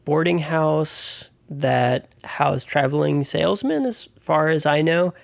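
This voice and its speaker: A man's voice: on the high side, slow, gravelly, dry recording. A man explaining things casually in an interview. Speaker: interviewee, house resident.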